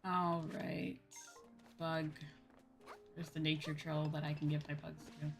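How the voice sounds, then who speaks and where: Lady streamer rambling to chat while gaming, lowish voice, bit of music behind her. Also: little pitch movement, measured pace, gravelly. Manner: rambling, thinking aloud. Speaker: livestreamer playing a farming game. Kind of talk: casual game commentary and chat with viewers.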